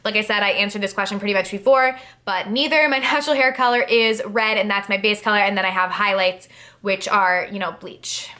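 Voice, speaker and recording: Young woman vlogger in her twenties, bright voice of middling pitch, loose and chatty delivery. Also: steady tone, brisk. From New Jersey, USA. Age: 20s. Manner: chatty, rambling, many fillers and "like". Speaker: beauty vlogger. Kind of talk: casual Q&A talk to camera.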